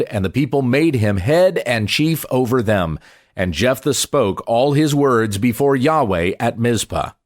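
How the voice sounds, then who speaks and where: A man reads scripture aloud as a pastor would, working from the text. His voice sits at a middling pitch and moves widely up and down. The delivery is deliberate and measured, with clear expression in the phrasing.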